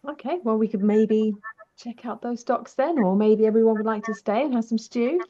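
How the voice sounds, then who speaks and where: A woman's voice: fairly high pitch, moderate pace, dark tone. A woman playing a tabletop roleplaying game, speaking casually in character in a hesitant, polite way, on muffled audio.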